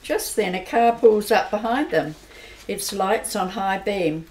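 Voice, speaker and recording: A woman's voice: medium pitch, a little slow, a little mellow. A woman narrating an amateur radio play in a plain, matter-of-fact way. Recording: roomy amateur recording with faint hiss.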